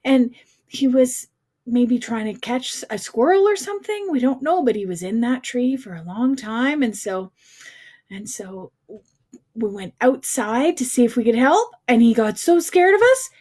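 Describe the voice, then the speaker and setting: High-voiced woman hosting children's story time. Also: expressive, unhurried, darkish tone. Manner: sing-song, addressing children with questions. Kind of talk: casual livestream chat to young children. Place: informal livestream at a computer.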